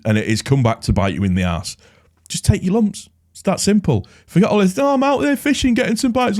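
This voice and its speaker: A man's voice: sits low, darkish tone. A man with a cold, talking casually and rambling through his football fan channel, exasperated with rival fans. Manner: chatty, rambling, exasperated when mocking rivals. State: stuffy with a cold. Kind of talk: casual solo vlog commentary.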